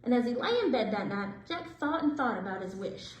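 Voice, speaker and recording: A woman with a high voice narrates a picture book for children. Her delivery swings up and down expressively, with deliberate phrasing. The room adds a clear echo. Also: sing-song, a little hiss or hum. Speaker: librarian reading stories aloud for children. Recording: roomy indoor echo.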